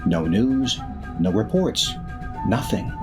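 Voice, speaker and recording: A man narrating a radio play with measured, suspenseful, theatrical delivery of a dramatic script. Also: lowish voice, animated. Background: music.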